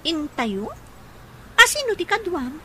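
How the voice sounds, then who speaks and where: A woman's voice: very high-pitched, big pitch swings, slow, pushed and bright, a little hiss or hum, exaggerated voice. A Filipino woman playing a peevish, evasive young daughter in a radio drama. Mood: flustered, evasive. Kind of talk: Ilocano radio drama acting.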